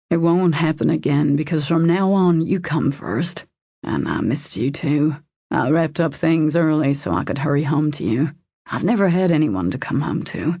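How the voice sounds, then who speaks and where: A woman voicing a devoted, protective man in an audiobook romance, in a low husky murmur, earnest, intimate and reassuring. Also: sing-song, normal speed, mellow, dry recording, clean studio sound, full character voice. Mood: apologetic, tender.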